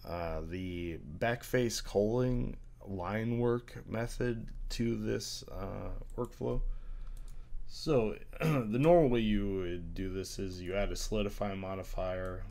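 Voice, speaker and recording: A young man with a very low, congested voice walks through a software tutorial, his throat strained from a recent illness. He hesitates often with filler sounds as he works, and keyboard typing is heard behind him. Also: slow pace, creaky. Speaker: tutorial creator. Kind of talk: screen-recorded software tutorial.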